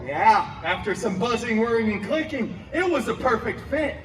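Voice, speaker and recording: An actor playing a wisecracking, brash costumed superhero, telling a comic story live to a crowd with sarcastic asides. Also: male voice, very high voice, lively intonation, moderate pace, a bit bright, distant mic, slight background noise. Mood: playful storytelling. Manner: sarcastic asides, exaggerated delivery. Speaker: theme park character performer telling stories to guests. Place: outdoor theme park show area. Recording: echoey PA outdoors, music and crowd.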